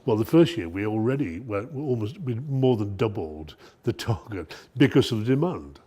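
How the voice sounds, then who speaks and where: Husky, mellow-voiced staff man. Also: fairly low pitch, big pitch swings. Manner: halting, repeats words as he restarts. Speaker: art school programme staff. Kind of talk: documentary interview.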